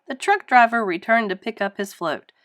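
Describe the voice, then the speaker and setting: A woman reading a children's story aloud in an animated narrating style. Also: a little high, normal speed, no echo, studio quality. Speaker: storybook reader.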